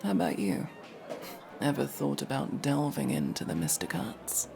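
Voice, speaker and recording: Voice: medium pitch, wildly swooping pitch, normal speed, gravelly, exaggerated voice. A performer voicing a guarded, sardonic masked elf rogue in a casual ASMR roleplay, speaking to the listener with hesitant asides. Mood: wry, casual. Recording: music playing behind the voice.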